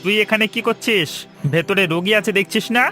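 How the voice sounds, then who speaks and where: A man's voice: high-pitched, moderate pace, sharp tone, faint music behind. A man voicing a greedy, bullying village doctor in a cartoon, scolding and scornful. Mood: angry, tense. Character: greedy crooked village clinic doctor, bullying elder brother.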